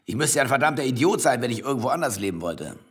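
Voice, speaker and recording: Husky, arrogant rich man. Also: medium pitch, very animated, racing. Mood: arrogant, dismissive. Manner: blunt, dismissive, boastful. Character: brusque, arrogant, wealthy husky young husband. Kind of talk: radio play acting. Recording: studio radio play with music underneath.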